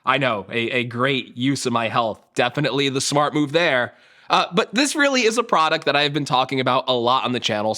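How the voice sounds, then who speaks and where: A young man, a tech YouTuber, chatting to camera in a casual, self-mocking way, his voice a little hoarse from a sore throat. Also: medium pitch, pushed and bright.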